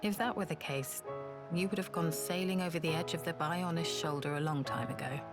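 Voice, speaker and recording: Bitter, low-voiced woman, played by an actress. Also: fairly quick, music in the background, natural voice. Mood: wry, sceptical. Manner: curt, sardonic, challenging. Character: bitter, proud, guarded woman, self-loathing rival sister. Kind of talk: dramatic video game voice acting.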